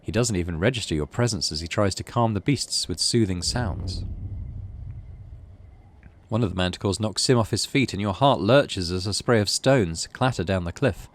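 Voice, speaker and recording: A man's voice: on the low side, normal speed, a little hiss or hum. A man giving a casual let's play commentary, thinking aloud and reading story text from the game. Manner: thinks aloud while playing. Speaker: gaming channel host playing a visual novel.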